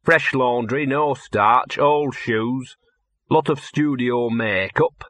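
A man's voice: medium pitch, slow pace, fairly bright tone, no room sound. A man voicing a small scruffy talking dog in an audiobook, a streetwise know-all with a level, sardonic mutter. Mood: smug. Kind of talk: audiobook character voice.

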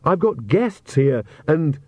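A man acts out a young queen and new mother from a storybook, earnest and easily indignant. Her pitch sits a little above his usual talk, and she speaks in a brisk, prim, fussy way. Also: very animated, no room sound, exaggerated voice.

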